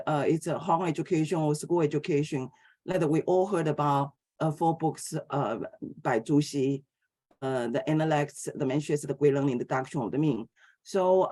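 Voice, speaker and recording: A middle-aged woman from Taiwan, giving an academic philosophy lecture in a hesitant way, with many pauses and filler sounds. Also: low-pitched, mellow.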